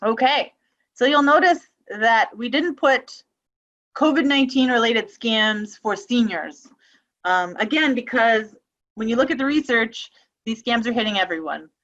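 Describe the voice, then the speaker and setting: A woman's voice: a little high, a bit bright, muffled recording. A woman giving a webinar presentation on a video call, talking casually with frequent ums and restarts. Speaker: webinar presenter on elder abuse prevention.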